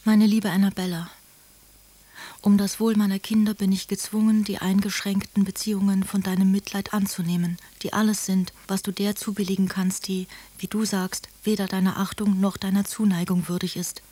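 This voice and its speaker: An anxious, submissive woman played by an actress speaks earnest in a soft, very mellow voice of middle pitch. She sounds imploring, as if confiding a letter in a radio play. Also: flat delivery, moderate pace, natural voice. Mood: humble, wounded. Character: anxious, pleading, submissive mother and devoted penitent friend. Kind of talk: German radio drama, letters read in character.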